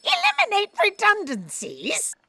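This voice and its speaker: A woman's voice: very high-pitched, wildly swooping pitch, unhurried, sharp tone, no room sound, full character voice. A woman playing a haughty, indignant chief editor in a comic audio drama, pompous and commanding. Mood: impatient.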